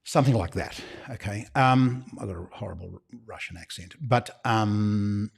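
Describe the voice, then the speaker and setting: A man's voice: on the low side, moderate pace, creaky, husky. Chatty Australian man hosting a live Q&A. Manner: chatty, rambling, with conspiratorial joking asides. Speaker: shop owner hosting a live Q&A. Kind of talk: casual livestream Q&A.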